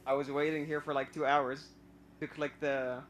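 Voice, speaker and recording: A man's voice: fairly high pitch, little pitch movement, normal speed, fairly bright tone, slight background noise. A young man from Iraq calling in to a live stream, telling his story hesitantly with many fillers and restarts. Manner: nervous, admits stage fright. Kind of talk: casual personal story.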